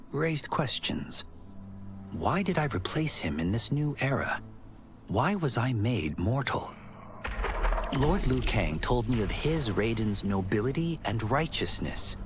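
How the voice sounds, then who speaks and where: An actor voicing a solemn, brooding mortal Shaolin champion, narrating formally for a video game. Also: male voice, mid-range voice, background noise. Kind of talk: scripted game ending narration. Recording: music behind. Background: music.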